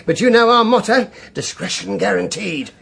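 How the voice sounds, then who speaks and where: High, creaky, shifty private eye. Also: male voice, very animated, normal speed, fairly bright tone, exaggerated voice. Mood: oily, ingratiating. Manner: oily, hesitant, ingratiating. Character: shifty, obsequious private investigator, cagey and greedy. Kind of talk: radio drama acting.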